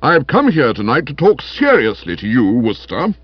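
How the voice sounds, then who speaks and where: A man acts out a bullying constable for a comic audiobook. He is morose, pompous and peevish, pushing his pitch up and swinging it widely as he scolds. The recording is dry and a little dull. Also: wildly swooping pitch, a little fast, breathy edge, no echo. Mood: accusing, hectoring. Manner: hectoring, morose, clipped pronouncements.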